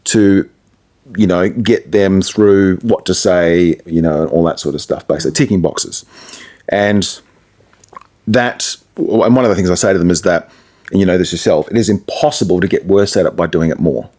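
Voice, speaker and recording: A man's voice: low voice, normal speed, darkish tone. A man talking casually in an interview as a radio presenter, explaining things through anecdotes and asides.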